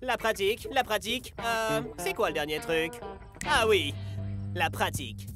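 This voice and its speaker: Cocky, swooping cartoon footballer. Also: male voice, high-pitched, lively intonation, unhurried, over music. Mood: smug. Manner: smug, teasing. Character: cocky, boastful young male football player. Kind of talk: French cartoon dub.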